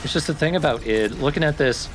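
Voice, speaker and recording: A man's voice: on the high side, fast talker, background noise. A man chatting casually and musingly as co-commentator on a video game let's play.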